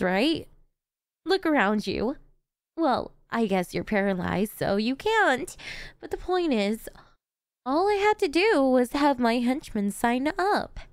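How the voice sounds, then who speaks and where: A woman's voice: sits high, dry recording, clean studio sound. A woman playing a gleeful, cocky yet doting female supervillain in an ASMR roleplay, with a theatrical, teasing, chatty manner. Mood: gleeful gloating.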